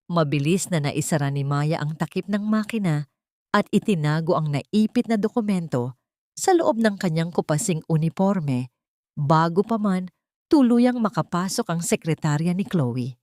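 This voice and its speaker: A woman's voice: on the low side, animated, moderate pace, darkish tone, no echo, studio quality. A woman with a Filipino accent reads a scripted dramatic story as its narrator.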